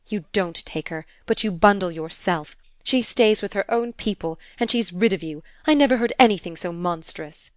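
A woman reading aloud and voicing a wrathful, scornful stepmother from period fiction in a loud, vehement manner. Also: mid-range voice, normal speed, hardly any pauses, no room sound, close to an everyday voice. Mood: scornful, furious.

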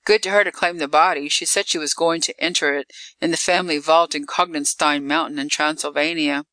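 A narrator voicing a regretful onlooker discussing a death in hushed, clipped remarks. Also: mid-range voice, steady tone, brisk, no room sound, lightly acted, low-quality recording. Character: hushed mourners discussing a young man's death.

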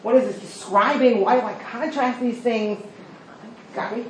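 A woman leading a study class, talking quickly and encouragingly, often asking "right?" and praising answers. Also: fairly high pitch, fairly even intonation. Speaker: teacher leading a study session. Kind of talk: interactive class discussion. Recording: echoey room with background noise.

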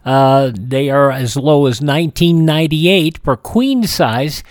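A man hosts a classic radio hour in a relaxed, unpolished way, often pausing on "uh." His voice is slightly high and sounds dry and close. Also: dry recording. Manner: relaxed, with frequent "uh" hesitations. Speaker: radio host. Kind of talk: casual host reading ads and intros.

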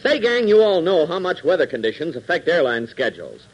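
A man's voice: a little high, sing-song, fairly quick, noisy background. A man announcing and narrating a 1940s radio adventure serial, speaking emphatically and in a folksy way to young listeners. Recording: dull, lo-fi 1940s radio recording.

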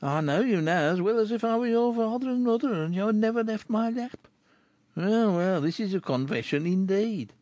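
A man voicing a rough male street tough in an audiobook, ironic and teasing. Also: high voice, expressive, normal speed, darkish tone, no echo, voice pushed up.

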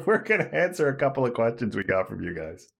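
A man's voice: mid-range voice, very animated, a little fast, vocal fry, breathy edge. A man hosting a podcast in casual chat, laughing often and restarting his phrases. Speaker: podcast host.

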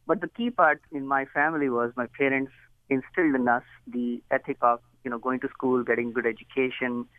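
A man's voice: medium pitch, no echo. An Indian man speaks over the phone as a guest on a talk show. He is earnest and chatty and likes to laugh at his own jokes. The line is thin and tinny, and the sound is dry and close. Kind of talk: phone interview.

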